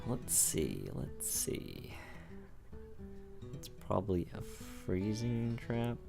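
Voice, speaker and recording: Relaxed male gameplay commentator, deep and slow, game music behind. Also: vocal fry. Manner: laid-back, thinks aloud, chuckles at his own jokes. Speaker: gameplay video creator.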